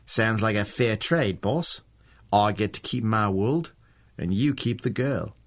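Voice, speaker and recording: A sly adventurer from a 1930s-style radio serial. He speaks slowly and deliberately, with animated pitch and cocky, wry humor. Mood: wry, measured. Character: sly, cocky rogue from another world. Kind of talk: 1930s pulp radio acting.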